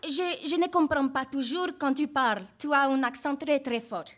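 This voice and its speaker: Actress as a gentle immigrant woman, polite, voice a bit on the high side. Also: normal speed. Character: immigrant woman settled for eight years. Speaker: actor in a public reading. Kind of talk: staged play reading.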